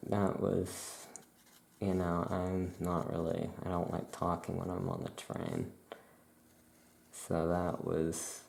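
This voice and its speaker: Very creaky, low, soft-spoken ASMR voice. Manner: rambling, unhurried, trailing off. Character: easygoing, chatty Salem witch shopkeeper. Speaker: ASMR performer playing a witch shopkeeper. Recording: roomy echo, faint background noise.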